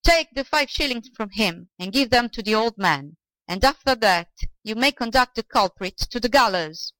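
A woman's voice: on the high side, expressive, moderate pace, a bit bright, no echo, pitched above the performer's own voice, low-quality recording. A woman voicing a stern, sceptical male judge in a storybook, with an authoritative, pronouncing manner. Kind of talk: storybook character voice.

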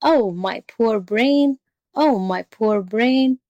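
A woman's voice: medium pitch, takes it slowly, creaky, no room sound, dull audio. A woman voicing a sly storybook jackal who acts dim, muddled and plaintively confused. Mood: wailing, confused.

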